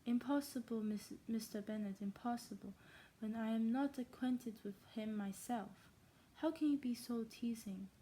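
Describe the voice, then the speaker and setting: A woman voicing a fretful, excitable middle-aged mother from a classic novel, exclaiming her complaints. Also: fairly high pitch, moderate pace, a little hiss or hum, close to an everyday voice, low-quality recording. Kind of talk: amateur read-aloud of a classic novel.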